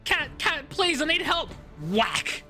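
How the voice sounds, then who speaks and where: A woman's voice: high voice, sing-song, slow, music in the background. A woman chatting casually as a game streamer, playful and full of jokey asides. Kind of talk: casual live-stream chat and commentary.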